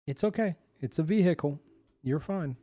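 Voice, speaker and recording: A man's voice: fairly high pitch, expressive, moderate pace, warm tone, no echo. A man in his thirties casually chatting and swearing as he reads out chat messages while streaming a game.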